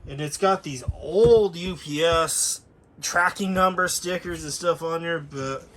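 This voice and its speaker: Higher-voiced American man, casual and rambling. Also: unhurried, fairly bright tone. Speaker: hobbyist video creator. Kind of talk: casual product overview, unscripted. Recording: clearly noisy room ambience.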